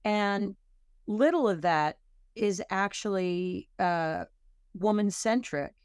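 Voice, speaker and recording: A woman author being interviewed on a podcast, answering thoughtfully and often pausing to rephrase. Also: mid-range voice, darkish tone, clean tone, no echo, clean studio sound. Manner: thoughtful, self-correcting, searching for words. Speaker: author guest.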